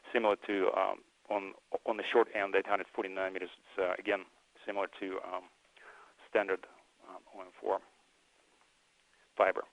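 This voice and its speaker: A man presenting technical material as an engineer at a conference, speaking haltingly with frequent hesitations. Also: lowish voice, gravelly, fairly bright tone, no room sound, poor audio.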